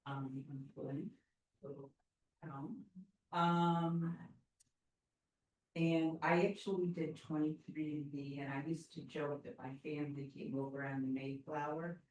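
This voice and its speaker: A woman introducing herself slowly with fillers and a light joking touch, recorded from far off in an echoey room. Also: sits low, fairly even intonation, a little mellow. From United States. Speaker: class participant. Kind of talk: casual self-introduction.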